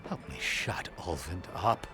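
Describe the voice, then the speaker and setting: An exasperated official in a video game. His voice sits fairly low and moves expressively, with formal, wry and articulate phrasing. Faint music plays behind him. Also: lively intonation, a little slow. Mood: earnest, frustrated. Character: exasperated male official opposing a troublesome rival. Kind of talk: scripted video game dialogue.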